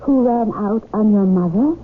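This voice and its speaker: An actress playing a sharp-tongued, suspicious young English wife in a radio drama, speaking in a wry, pointed way. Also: female voice, medium pitch, lively intonation, unhurried, lightly acted. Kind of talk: old-time radio drama acting. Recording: tinny old radio broadcast, faint noise.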